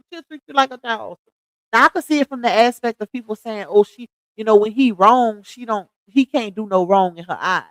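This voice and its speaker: A woman's voice: a little high, fairly bright tone, no echo, low-quality recording. A woman in her thirties chatting casually on a livestream, gossiping, laughing often and talking straight to her viewers. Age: 30s.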